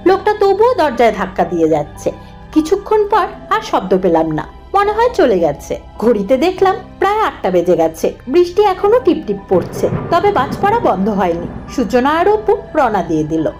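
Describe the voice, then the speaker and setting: Very high-pitched, animated woman storyteller. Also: moderate pace, over music. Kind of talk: scripted first-person horror cartoon narration.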